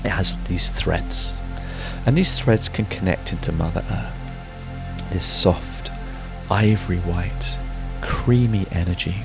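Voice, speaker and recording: A man leading a guided sleep meditation, giving soothing, unhurried instructions with frequent pauses. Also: deep voice, creaky. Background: background music.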